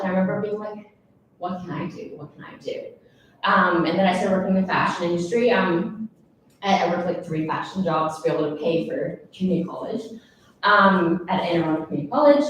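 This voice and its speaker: A young adult woman speaking casually and candidly on a panel. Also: lowish voice. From born in Indonesia, raised in Baltimore. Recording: echoey room from far off, faint noise.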